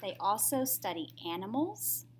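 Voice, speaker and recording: Slow, swooping woman reading to children. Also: medium pitch, very animated, background noise. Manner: teacherly, simply worded, often asking the listener questions. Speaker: read-aloud storyteller for young children. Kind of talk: picture book read-aloud with questions to children.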